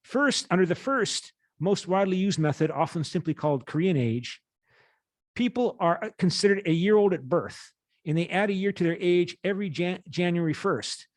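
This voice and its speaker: A man in his late fifties lecturing as a university teacher, reading aloud and explaining in a halting way with rephrasings. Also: high-pitched, animated, no room sound.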